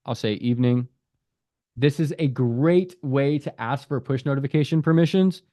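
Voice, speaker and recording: A man's voice: mid-range voice, normal speed, dark tone, dry recording, clean studio sound. A man casually walking through an app on screen, reading its prompts aloud and commenting on them as an app developer.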